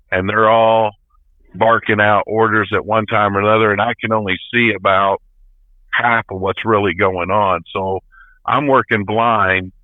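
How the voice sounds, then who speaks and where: Man, 50s, folksy trucker storyteller, lowish voice, thin phone-like sound. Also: dry recording. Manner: plain-spoken, folksy. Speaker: truck driver, interview guest. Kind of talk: casual storytelling. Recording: tinny phone-like line.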